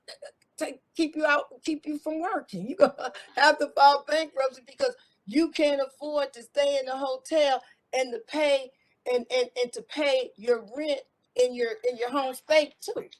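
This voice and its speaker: Rambling middle-aged woman, fairly high voice. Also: poor audio. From America. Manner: rambling, repeats words, restarts often. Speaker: guest, former IT contractor. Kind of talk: casual interview talk.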